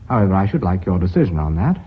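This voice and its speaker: Husky-voiced British company chairman. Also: deep voice, sing-song, exaggerated voice. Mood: stern, courteous. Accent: British English. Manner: courteous, measured, businesslike. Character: formal, authoritative company chairman running a board meeting. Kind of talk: British radio drama acting. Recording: muffled old radio recording.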